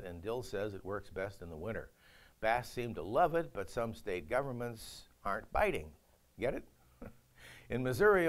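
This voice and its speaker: An older man anchoring a local news update in a studio, reading stories with a folksy, joking delivery. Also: medium pitch.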